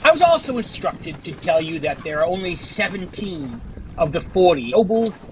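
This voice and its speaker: A man's voice: sits high, big pitch swings, normal speed, slight background noise, rough recording. A man playing an anxious but loyal young soldier scout in a fantasy audio drama, reporting formally and respectfully. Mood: dutiful, formal.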